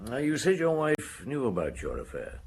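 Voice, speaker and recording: Radio drama detective, courteous but probing, animated intonation, faint noise behind. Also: male voice, mid-range voice, vocal fry. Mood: businesslike. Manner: probing, courteous, measured questioning. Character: patient, probing police detective questioning a suspect.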